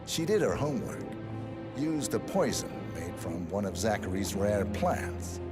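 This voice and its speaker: Game lead: undercover assassin as detective, low, controlled, clinical, loud score underneath. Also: male voice, very animated. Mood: measured, explanatory. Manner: measured, matter-of-fact, ominous. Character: cool, clinical male assassin posing as private investigator. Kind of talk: dramatic video game cutscene acting. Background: loud cinematic music.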